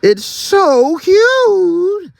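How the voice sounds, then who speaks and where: A man puts on an awkward, gushing donkey for a storybook. The voice leaps up into a high squeal and stammers as it goes. It is recorded dry and close. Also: very animated, extremely slow, vocal fry, dry recording, full character voice. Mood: delighted, flustered.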